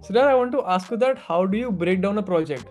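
Young guy hosting a podcast, talks quick and kinda high, asking questions. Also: animated, darkish tone, faint music behind. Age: 20s. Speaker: podcast host. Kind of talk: casual interview questions. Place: small indoor room.

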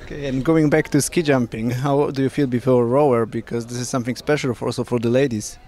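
Male interviewer, mid-pitched voice. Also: steady tone, fast, a little mellow. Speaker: sports interviewer. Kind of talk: interview questions. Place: large indoor lobby. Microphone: handheld reporter microphone.

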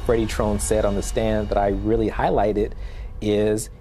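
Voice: mid-range voice, little pitch movement, measured pace, warm tone, faint music behind. A person interviewed in a crime report, explaining things in a plain, matter-of-fact way. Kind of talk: documentary interview.

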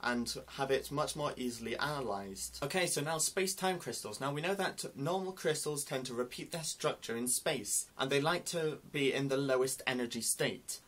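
A young man talks straight to the camera, earnestly explaining science news in a steady, mid-pitched voice. His hands keep moving as he lays out each point. The sound comes from a camera mic a desk's length away in a small indoor room. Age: young adult. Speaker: science news video host.

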